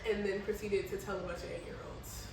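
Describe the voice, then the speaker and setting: A woman's voice: medium pitch, fairly quick. A young adult American woman hosting a podcast, telling stories casually and informally, acting out dialogue as she goes. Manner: animated retelling, acting out quoted dialogue; informal, swears freely. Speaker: podcast host. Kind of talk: casual conversational storytelling. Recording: very echoey room, distant mic, faint noise.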